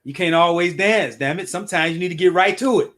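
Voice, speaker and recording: A man co-hosting a relationship talk show, a coach who debates his co-host and reads out viewer comments in an animated way. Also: high voice, fast.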